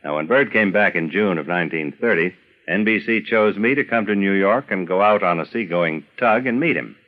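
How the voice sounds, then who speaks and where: A man's voice: low voice, fairly quick, dull audio. An older man narrating a scripted documentary with some personal reminiscence, as a storyteller of past times.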